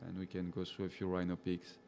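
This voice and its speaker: A man's voice: sits low, quick pace, creaky, a little hiss or hum. A man with a French accent, a safari guide casually chatting with live viewers and reading their comments aloud. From French-speaking. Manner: relaxed and chatty, laughs often, answers viewers by name.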